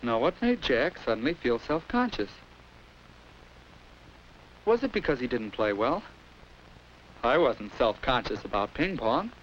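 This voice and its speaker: A man's voice: mid-range voice, very animated, unhurried, pauses often, fairly bright tone, low-quality recording. A young American man playing a self-conscious schoolboy, narrating earnestly and confidingly in a 1950s educational film, on a hissy old soundtrack. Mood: reflective. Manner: earnest, confiding first-person storytelling.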